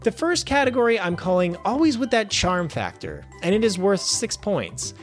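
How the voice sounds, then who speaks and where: A man hosting a film review show, reading a scripted review with wry, animated asides. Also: sits high, normal speed, soft background music. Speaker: film review podcast host.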